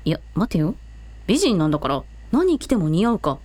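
A woman playing a brisk, teasing big sister in a casual, comic way for a Japanese audio drama. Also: on the low side, very animated, a little mellow. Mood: musing.

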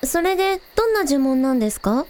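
A woman playing a cheerful, curious young village idol girl, casual and quick to exclaim, in a scripted audio drama. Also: high-pitched, very animated, noisy background. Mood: puzzled, curious.

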